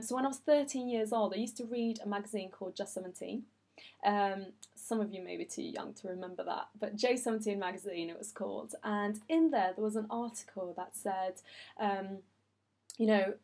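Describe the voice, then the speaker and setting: A young woman talks straight to camera from her office, answering viewer questions. She is chatty and earnest and fills her pauses with ums and you-knows. Her voice sits a little higher than most women's. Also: darkish tone. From Iran, raised in Sweden. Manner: chatty and earnest, many fillers. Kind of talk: casual chat.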